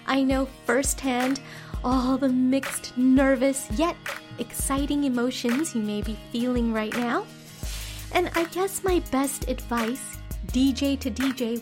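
A woman and former radio DJ leaving a slow, gentle, encouraging voice message for a fellow DJ. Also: high voice. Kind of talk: recorded voice message. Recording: voice message with music behind.

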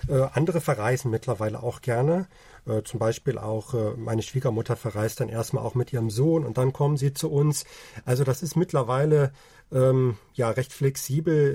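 A man in his forties co-hosting a casual podcast, explaining calmly with many hesitations. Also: medium pitch, husky, dry recording. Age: 40s. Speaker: podcast co-host.

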